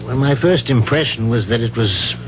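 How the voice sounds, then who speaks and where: A man's voice: medium pitch, over music, natural voice. Man acting a reserved professor, muffled old radio. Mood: careful, concerned. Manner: measured, hesitant, formal. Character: earnest, reserved male university professor reporting a worry. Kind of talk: 1970s radio drama acting. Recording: dull, noisy old radio broadcast with hum.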